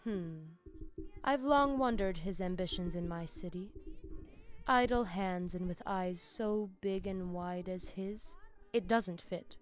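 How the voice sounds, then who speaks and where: An actress playing a formal, menacing vampire bishop in a video game, speaking deliberately. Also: female voice, on the low side. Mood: cold resolve. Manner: theatrical, deliberate, ornate phrasing. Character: female vampire bishop, menacing, formal, zealous sect leader. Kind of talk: video game dialogue acting.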